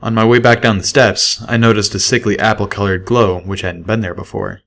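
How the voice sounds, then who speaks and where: A man's voice: fairly low pitch, fairly even intonation, a little fast, fairly bright tone. A man narrating a scripted first-person story as an audiobook reader.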